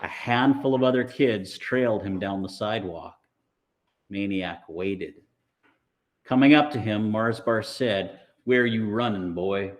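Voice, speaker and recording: Slow-paced man reading a story dramatically. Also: fairly low pitch. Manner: dramatic, deliberate phrasing. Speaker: audiobook reader. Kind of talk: expressive scripted storytelling.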